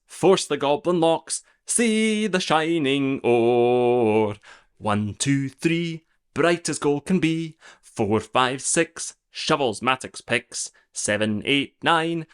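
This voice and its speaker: A man's voice: medium pitch, a bit bright, clear, resonant tone, voice pushed up. Young miner boy, merry, sing-song chant, storybook figure, man voicing him.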